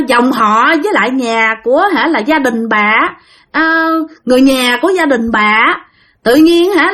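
A woman voicing a spirit that has possessed a medium, taunting and then whining in a high strained voice. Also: a bit bright. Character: possessing spirit, speaks through a male medium, menacing then pleading.

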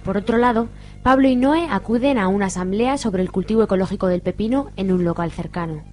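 Woman narrating a radio soap over soft music. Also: mid-range voice, fast talker.

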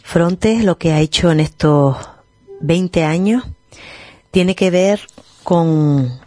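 Deliberate low-voiced woman activist. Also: mellow, dry recording. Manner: measured, deliberate, with pauses and fillers. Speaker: interviewed activist. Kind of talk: radio interview answers.

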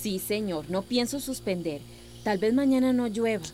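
An actress with a Central American accent playing an earnest, insistent village schoolteacher in a radio drama. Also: female voice, medium pitch, expressive, moderate pace, warm tone, slight background noise, close to an everyday voice. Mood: kind, steady.

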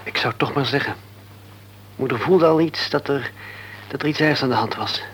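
A man playing a kind young father in a radio play, gentle and soft-spoken. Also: mid-range voice, moderate pace, husky.

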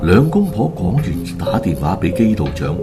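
A man's voice: sits low, sing-song, moderate pace, loud music behind the voice. A man narrating a radio drama in a dramatic storytelling style.

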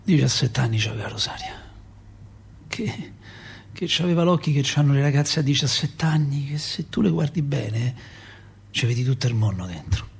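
An actor playing a talkative middle-aged working-class Roman father, a doorkeeper, in an expressive, rambling radio-play monologue with a Roman accent and a husky voice. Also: mid-range voice, moderate pace, heavily acted. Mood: hushed, grave. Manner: expressive, rambling, repeats himself and asks rhetorical questions. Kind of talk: theatrical radio monologue, colloquial storytelling.

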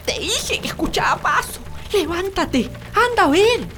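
A woman's voice: very high voice, animated, a little slow, fairly bright tone, soft background music, exaggerated voice. A woman playing an anxious, nagging country wife in a radio drama, with a Chilean accent and a worried, questioning manner. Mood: wary, worried.

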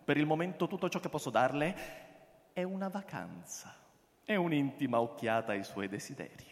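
Old eccentric salesman, fairly high voice, formal, persuasive, theatrical radio drama, long hall reverb. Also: expressive, normal speed. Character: eccentric old man selling secret illegal escapes, bitter, persuasive.

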